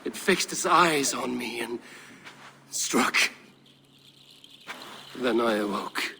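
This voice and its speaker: An actor playing a troubled, fiercely loyal Viking warrior in a video game, speaking in a halting, earnest way. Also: male voice, mid-range voice, lively intonation, creaky, background noise. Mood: grave, haunted. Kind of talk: dramatic video game acting.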